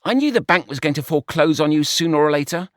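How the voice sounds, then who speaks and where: A man's voice: on the high side, expressive, fast talker, fairly bright tone, dry recording, pitched above the performer's own voice. A man voicing a dry, curt male pig financier who is fussy about germs.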